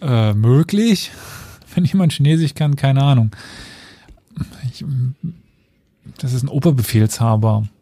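A man's voice: mid-range voice, measured pace, pauses often, dark tone, dry recording. A man hosting a casual history podcast, explaining at length with fillers and dry self-mocking asides. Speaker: podcast host telling history.

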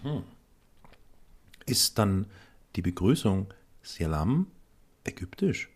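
A man's voice: deep voice, big pitch swings, slow, husky. A man co-hosting a chat, chiming in with short questions and jokes. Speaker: podcast co-host. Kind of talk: casual chat.

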